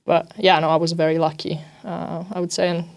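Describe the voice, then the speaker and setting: A woman's voice: on the low side, flat delivery, fairly quick, gravelly, darkish tone. A young woman from Italy, a native Italian speaker, answering interview questions casually as an athlete, with lots of "you know" and "like". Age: young adult. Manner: informal, peppered with "you know" and "like". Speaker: ice hockey defender.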